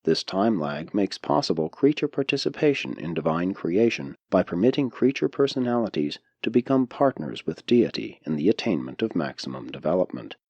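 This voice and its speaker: Low-voiced man reading an audiobook, expressively pitched. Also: darkish tone, no room sound, highs cut off. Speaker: audiobook reader. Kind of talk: scripted audiobook narration of a spiritual text.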